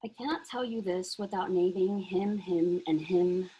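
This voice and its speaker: Breathy woman reading intensely. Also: fairly low pitch, measured pace, mellow, rough recording. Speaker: workshop participant. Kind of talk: reading her prose poem aloud.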